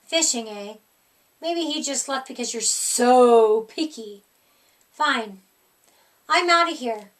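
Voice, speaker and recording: A big scruffy storybook boy monster, acted out by a woman in a high voice, a little far from the mic. He slurps, stammers and drags his words, trying hard to sound scary but coming out whiny and bumbling. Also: lively intonation, takes it slowly, long pauses. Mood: whiny, huffy.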